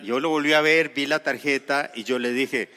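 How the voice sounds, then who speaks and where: A man's voice: medium pitch, normal speed, pushed and bright, big-room sound. A man from Costa Rica preaching a sermon, explaining scripture with personal stories and light humor. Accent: Costa Rican Spanish. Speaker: pastor. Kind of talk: sermon with storytelling.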